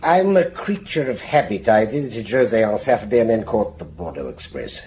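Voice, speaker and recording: A man's voice: lowish voice, sing-song, normal speed, a little mellow, a little hiss or hum. An actor playing a haughty, guarded, middle-aged provincial businessman in a radio drama, speaking in a stiff, clipped, evasive way. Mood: stiff, reticent. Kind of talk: BBC radio drama acting.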